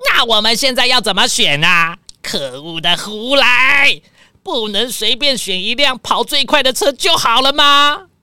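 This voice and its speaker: Woman doing whiny rich car guy, dramatic show-off, slow drawn out, sharp high voice. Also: animated, clean tone, no room sound, clean studio sound, full character voice. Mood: frustrated. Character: whiny, impatient rich male car lover. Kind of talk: children's audio detective drama.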